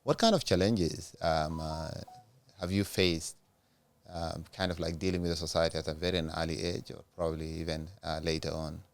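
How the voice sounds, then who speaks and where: A man's voice: low-pitched, steady tone, moderate pace, creaky. A male host asking interview questions with many hesitant fillers.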